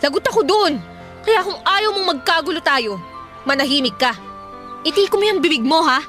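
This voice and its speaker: A woman's voice: extremely high pitch, lively intonation, moderate pace, a bit bright, faint music behind. A woman playing an irritable, defiant elder sister and hard-up single mother in a radio drama, scolding and bluntly sarcastic. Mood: sharp, scolding.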